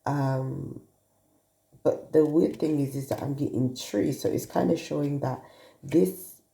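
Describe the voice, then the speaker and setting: A woman's voice: deep voice, measured pace, creaky, mellow. A woman with a cold, sounding sniffly, thinking aloud as she gives a casual tarot card reading to viewers, recorded on a phone. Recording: echoey room.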